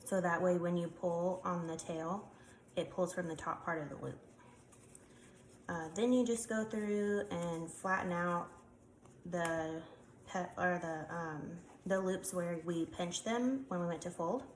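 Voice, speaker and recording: A woman's voice: lowish voice, a little slow. A woman with a congested voice, chatting casually as she demonstrates a craft step by step. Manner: chatty, explains while working with hands, frequent ums. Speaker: craft tutorial maker. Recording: echoey room, faint background noise.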